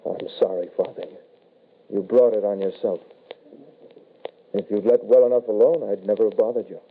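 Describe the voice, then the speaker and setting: An actor playing an undead shapeshifting son, calmly menacing and apologetic, in old-time radio horror style. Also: male voice, lowish voice, normal speed, vocal fry. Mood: apologetic menace. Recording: tinny, band-limited vintage radio broadcast.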